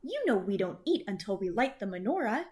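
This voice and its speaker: A woman's voice: medium pitch, very animated, brisk, a little mellow, lightly acted. A woman voicing a kindly, chatty old woman who fusses over her guest in a children's storybook. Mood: gently fussing. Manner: hospitable, fussing, exclaiming. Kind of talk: children's storybook read-aloud.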